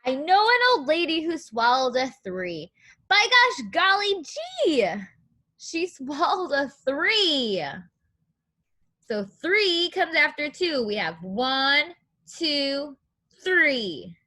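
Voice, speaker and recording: Bright, animated woman teaching small children. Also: high voice, slow. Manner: animated, sing-song, encouraging, simple phrasing for young children. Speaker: preschool teacher reading aloud. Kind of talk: children's read-aloud lesson with questions and counting.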